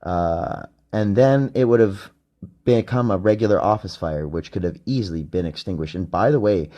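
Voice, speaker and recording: A man's voice: low voice, moderate pace, mellow, muffled recording. A man hosting his own podcast, talking off the cuff in a rambling, profane way with many restarts. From Canada. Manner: profane, hesitant, full of restarts. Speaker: podcast host. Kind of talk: rambling monologue.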